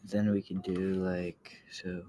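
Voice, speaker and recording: A man giving a casual software tutorial, thinking aloud in a halting way and often trailing off. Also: sits low, level tone. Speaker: tutorial host. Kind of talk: casual screen-recorded coding tutorial. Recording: clear background noise, keyboard clicks.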